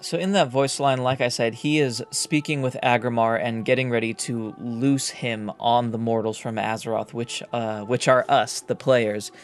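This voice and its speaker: A man's voice: mid-range voice, fairly even intonation, normal speed, hardly any pauses. Man hosting casual game commentary over music. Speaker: gaming YouTuber, host.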